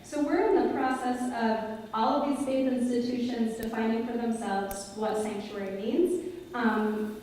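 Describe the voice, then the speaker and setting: A woman's voice: fairly high pitch, steady tone, unhurried, darkish tone, slight background noise. A young woman moderating a congregation Q&A in a large synagogue sanctuary, explaining things earnestly with many ums on a handheld mic. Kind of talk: unscripted, explaining to an audience. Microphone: handheld mic, filmed by a far camera. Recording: echoey hall from far off.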